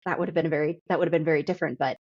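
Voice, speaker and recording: Low-voiced woman, slightly muffled recording. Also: fairly even intonation, rapid-fire. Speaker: podcast host, interviewer. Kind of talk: reflective conversational interview.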